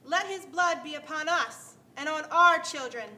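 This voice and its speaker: A woman plays a vengeful woman from a mob in a staged Bible drama. Her declaiming is high and extremely bright, with a sharp, jeering edge. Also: moderate pace. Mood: defiant.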